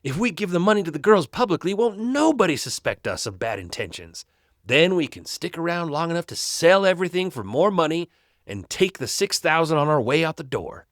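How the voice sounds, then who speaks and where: A man voicing a scheming male con man posing as an English gentleman, with a Southern accent that badly attempts to sound British, fawning and showy. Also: a little high, sing-song, a little fast, no room sound, studio quality, pitched above the performer's own voice. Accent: Southern US put on, attempting British badly.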